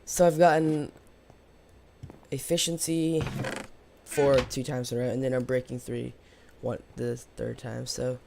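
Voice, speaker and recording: A man with a slightly hoarse voice giving casual, rambling, unscripted let's play commentary as host of a gaming video. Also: mid-range voice, level tone, a little slow, noisy background. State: slightly hoarse after losing voice from yelling.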